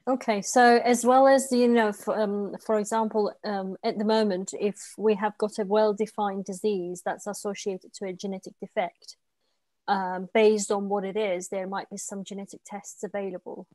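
A woman's voice: mid-range voice, steady tone, moderate pace, darkish tone, no echo, rough recording. A woman in her forties hosting a live science interview over a video call, asking polite, carefully phrased questions. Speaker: interviewer, host. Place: home room. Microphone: video call webcam.

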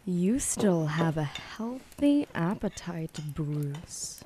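A woman's voice: fairly low pitch, very animated, extremely slow, mellow, exaggerated voice. A woman playing a languid, seductive villainess in a noir radio drama, with faint background noise. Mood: purring, seductive.

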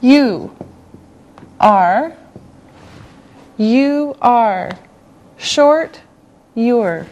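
Woman, English teacher, higher-pitched voice, extremely slow pace, deliberate word-by-word enunciation. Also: expressive, darkish tone. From Canada. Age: middle-aged. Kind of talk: slow classroom language lesson. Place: television studio classroom set.

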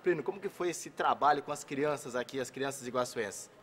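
Brisk, fast male TV reporter. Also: medium pitch. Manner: brisk, lively, gestures a lot. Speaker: field reporter. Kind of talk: live TV report and interview. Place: dark studio set.

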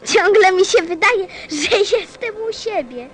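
A woman's voice: extremely high pitch, lively intonation, a little slow, a bit bright, exaggerated voice. A woman playing a small, sensitive little prince in a radio play, with a childlike, earnest, questioning manner. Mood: wistful.

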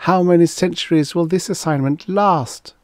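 A man's voice: fairly high pitch, very animated, slow pace, a little mellow, husky, dry recording, clean studio sound, pitched above the performer's own voice, heavily acted. A man voicing a flighty, dreamy female alien creature of air, sighing and plaintive, in a story narration. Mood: mournful, impatient.

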